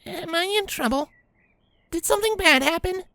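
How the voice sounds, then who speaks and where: A woman's voice: very high-pitched, normal speed, pushed and bright, dry recording, full character voice. A woman voicing a scared, defiant young pony filly with pleading, emphatic delivery in an acted audio drama. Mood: anxious.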